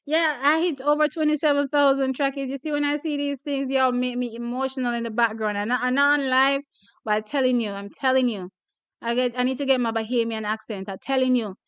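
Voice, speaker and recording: A woman's voice: on the high side, fairly bright tone, smooth, no room sound, highs cut off. A young woman from Jamaica hosting a track and field channel, chatting casually with viewers, laughing often and repeating herself. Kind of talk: casual chat reading viewer comments.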